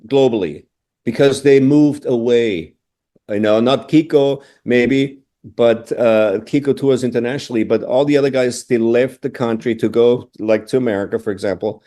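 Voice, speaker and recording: Casting: middle-aged man from austria, warm dark tone, reflective guest, lots of "you know". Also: medium pitch. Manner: thoughtful, restarts phrases, frequent "you know". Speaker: interview guest, drummer. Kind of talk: casual interview answers.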